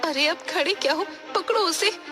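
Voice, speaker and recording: Woman playing a frantic, high-pitched pleading mother. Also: moderate pace, music in the background. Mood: anxious urgency. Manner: urgent, tearful pleading. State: crying. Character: frantic distressed village mother, pleading for her son.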